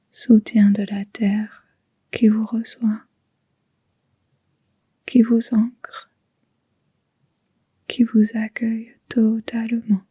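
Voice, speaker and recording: A woman leads a guided relaxation in a very breathy, whispery voice. Her delivery is slow and gentle, with long pauses between phrases, and stays on an even, level tone. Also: a little high, no echo. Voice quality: soft, breathy, near-whispered. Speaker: yoga nidra guide.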